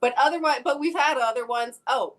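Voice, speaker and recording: A middle-aged woman from Canada, an actress chatting casually as a podcast guest, frank and quick to laugh. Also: high-pitched, fairly bright tone. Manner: easygoing, laughs often, frank. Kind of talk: casual interview chat.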